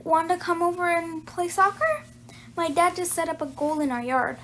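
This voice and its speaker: A nervous young girl played by a woman in a children's storybook. Her voice is high, and she hesitates and stammers evasively. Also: normal speed, fairly bright tone, a little hiss or hum. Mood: awkward.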